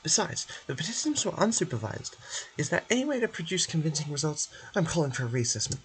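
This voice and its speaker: A man's voice: a little high, sing-song, fast, fairly bright tone, voice pushed up, rough recording. A man voicing a pedantic, indignant contestant who is obsessed with rules in a video game. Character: huffy, argumentative rule-obsessed prison game contestant.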